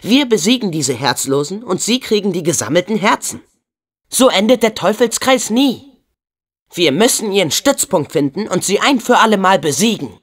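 A man's voice: sits high, very animated, normal speed. A young man voicing a plucky, kind-hearted teenage boy hero in a video game dub, earnest and spirited, with casual youthful phrasing. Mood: determined.

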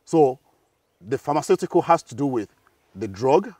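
Animated Nigerian man, slightly off-mic. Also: moderate pace, husky, no echo, distant mic. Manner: animated, rises high when telling stories, laughs easily. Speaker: guest professor. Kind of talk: interview conversation. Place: outdoor garden set, seated. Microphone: lapel.

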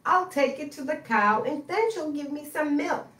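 A woman voicing a pleading young male fox who lost his tail, in a high earnest tone. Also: lively intonation, moderate pace, a bit bright. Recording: roomy echo, far from mic.

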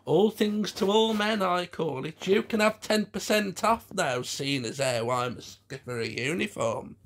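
Man as a pouty, high-pitched puppet floozy. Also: lively intonation, unhurried, a bit bright, exaggerated voice. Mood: flirtatious. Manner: pouting, suggestive.

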